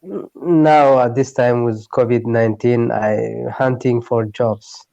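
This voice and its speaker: A man from Eritrea, a Tigray speaker learning English, speaks in halting phrases, often searching for words. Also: medium pitch, steady tone, vocal fry, warm tone, no echo, lo-fi sound. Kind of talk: online tutoring conversation. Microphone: video call.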